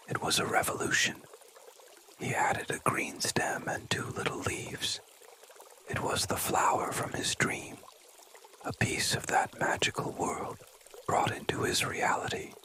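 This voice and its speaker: A storyteller narrating a children's bedtime story in a breathy ASMR whisper, with deliberate phrasing and frequent pauses. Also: bright, forceful tone.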